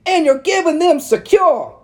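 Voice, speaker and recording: A prim, easily scandalized preacher's wife, voiced by a man in a sharp, raised falsetto. Her delivery is clipped and sniffy, with a warm, soft timbre beneath. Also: animated, measured pace. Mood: indignant.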